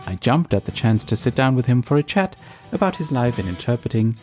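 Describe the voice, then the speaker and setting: A man's voice: medium pitch, sing-song, brisk, a little mellow. Male podcast host over guitar music. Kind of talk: scripted podcast intro. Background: acoustic guitar music.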